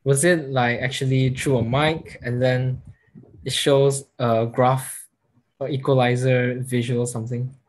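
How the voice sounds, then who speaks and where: A young man hosts a conversation over a video call. He reacts eagerly and asks loose, meandering questions padded with fillers. His voice sits at an ordinary pitch for a man. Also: little pitch movement, measured pace. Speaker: host.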